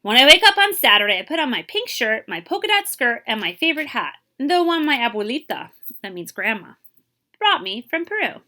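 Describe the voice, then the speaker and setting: A woman reads a children's picture book aloud in a high, bright voice. Her intonation is very lively and expressive, and she explains and questions as a librarian would with young listeners. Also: brisk.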